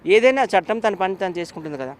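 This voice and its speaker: Fast, high-voiced man being interviewed. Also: lively intonation. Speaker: interview guest. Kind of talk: animated opinionated interview talk.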